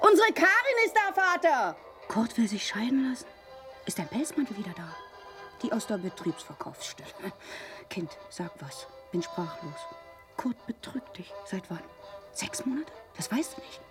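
An actress playing a brash, nagging middle-aged East Berlin housewife in a grotesque radio play, sharp-tongued and bickering. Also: female voice, very animated, moderate pace. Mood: agitated. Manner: sharp-tongued, bickering, swinging from hushed to shrill. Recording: music behind the voice.

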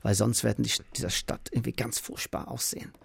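A man's voice: medium pitch, fairly even intonation, fast talker, vocal fry, husky, no echo. An older man from Manchester, a native English speaker with an English accent, tells casual anecdotes as an interview guest in a rambling way, repeating words. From Manchester, England.

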